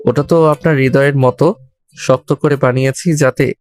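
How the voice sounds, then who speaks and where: A man's voice: mid-range voice, steady tone, moderate pace, a little mellow, natural voice. A man playing a cheeky young servant in an audio story, teasing with mock politeness and sarcasm. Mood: mock-polite. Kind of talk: Bengali audio story acting. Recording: background music under the voice.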